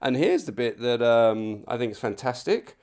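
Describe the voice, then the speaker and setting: A man's voice: mid-range voice, sing-song, moderate pace, a little mellow. A man hosting a podcast, speaking casually and in a matey, informal way as he introduces and interviews. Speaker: podcast host.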